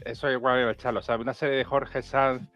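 A man's voice: medium pitch, little pitch movement, fast, fairly bright tone. A man with a Spain Spanish accent chatting casually as a podcast co-host in a teasing, sardonic way. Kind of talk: casual chat.

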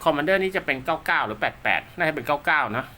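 Bright-voiced man in casual hands-on commentary. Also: on the high side, animated, fast, a little hiss or hum. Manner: polite, rambling, talks to himself while handling gear. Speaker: radio technician and seller presenting his work.

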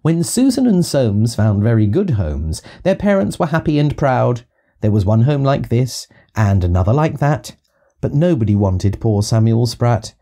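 A man's voice: mid-range voice, sing-song, moderate pace, mellow. A man narrating a children's audiobook, reading rhyming verse rhythmically and expressively. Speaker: storyteller reading to young children.

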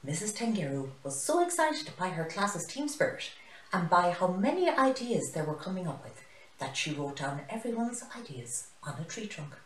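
An Irish woman in her sixties reading a children's picture book aloud in an expressive storytelling style. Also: fairly low pitch, normal speed, slight background noise. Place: small living room, indoors. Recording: roomy, echoey living room.

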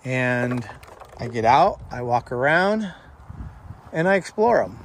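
A man's voice: mid-range voice, big pitch swings, takes it slowly. A man filming himself exploring abandoned ruins, talking insistently and defensively to his viewers. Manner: insistent, repetitive, heated when addressing critics. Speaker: urban explorer vlogger. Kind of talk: defensive walking commentary. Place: outdoors at abandoned desert ruins beside a highway. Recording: outdoor road noise clearly audible. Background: passing cars and wind.

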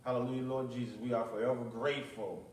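A middle-aged man preaching and praying as a pastor, speaking in measured, deliberate short phrases into a pulpit microphone in a small church. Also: mid-range voice, little pitch movement, a little hiss or hum. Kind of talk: sermon with prayer and commentary. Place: small, nearly empty church, at a pulpit indoors. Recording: echoey church, distant microphone.

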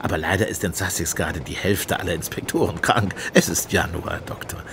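An actor playing a blunt, sardonic, sceptical male police inspector in a radio play. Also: brisk. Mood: grumbling. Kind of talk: German radio play dialogue. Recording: train rumble behind the voice.

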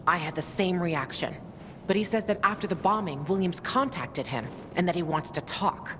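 A woman's voice: normal speed, fairly bright tone, close to an everyday voice. An actress playing a tough, loyal young woman fighter in a video game, blunt and sardonic in manner. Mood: resolute. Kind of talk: dramatic video game acting. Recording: reverberant space with noisy ambient rumble.

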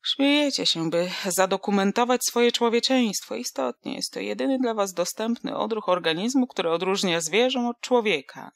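A man's voice: high-pitched, moderate pace, vocal fry, hardly any pauses, no echo, clean studio sound, pitched above the performer's own voice. A reader voicing a grandiloquent, tipsy male vagabond philosopher who speaks in a pompous, mock-oratorical way. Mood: declaiming.

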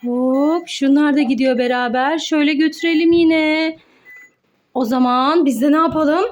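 A woman with a strikingly high voice presents toys as a mother playing along with her child. Her delivery is exclamatory and sing-song, pitched at young children in a playful narrating style. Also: fairly even intonation, moderate pace.

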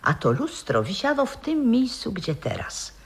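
An actress in a 1960s radio play playing a wistful, proud old grandmother who was once an opera singer, reminiscing in a halting, theatrical way. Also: a little high, huge pitch swings, breathy edge, full character voice. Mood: mysterious.

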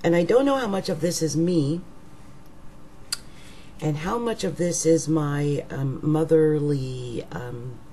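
A middle-aged woman with a rough, raggedy voice, talking casually to her webcam at home as an astrologer, slow and musing, trailing off into whispers. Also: deep voice, dark tone, a little hiss or hum. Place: living room at home. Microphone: webcam at desk.

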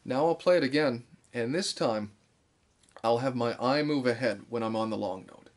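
A man in his thirties giving an instructional talk as a musician teaching, explaining things in a deliberate way. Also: medium pitch. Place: small bare room indoors.

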